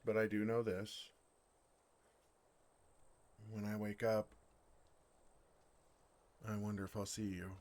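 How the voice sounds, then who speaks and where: A man voicing a bashful, earnest dragon in love in an ASMR fantasy roleplay, speaking tenderly with hesitant, awkward pauses. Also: on the low side, level tone, a little mellow.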